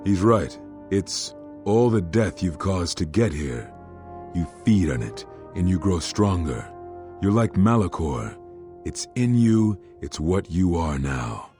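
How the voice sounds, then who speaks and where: An actor playing a brooding, grave Jedi master in a video game, with a weighty, ominous delivery. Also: male voice, low-pitched, sing-song, warm tone, faint music behind, heavily acted. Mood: grim. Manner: slow-burning, ominous, weighty. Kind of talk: dramatic video game dialogue.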